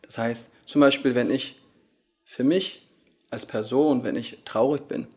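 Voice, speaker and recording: Man, 30s, Korean-born, husky voice, hesitant fillers, indoor interview, handheld recorder. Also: medium pitch. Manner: thoughtful, many pauses and fillers. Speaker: yoga teacher. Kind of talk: casual interview answers. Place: hotel lounge at a conference, indoors. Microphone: handheld recorder held by the interviewer.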